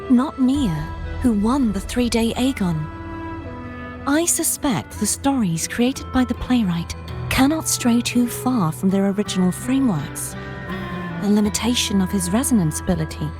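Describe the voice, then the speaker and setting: An actress playing a solemn, courteous mystical warrior woman in a video game, speaking in a formal, measured, grave way. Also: a little high, darkish tone. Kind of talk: scripted game dialogue. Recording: studio voice under prominent game music.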